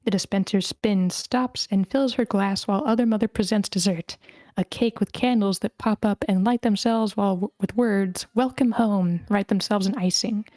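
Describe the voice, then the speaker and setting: A woman's voice: mid-range voice, moderate pace, darkish tone, dry recording. Dry-sounding woman reading stage directions. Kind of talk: scripted narration at a screenplay table read.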